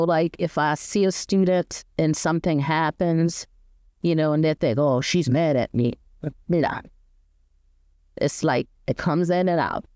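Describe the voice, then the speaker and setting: Low-voiced woman from Haiti, distant mic. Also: darkish tone. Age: 50s. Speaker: school assistant principal. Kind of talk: seated interview answers. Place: indoor school studio with curtain backdrop. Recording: distant mic with background room noise.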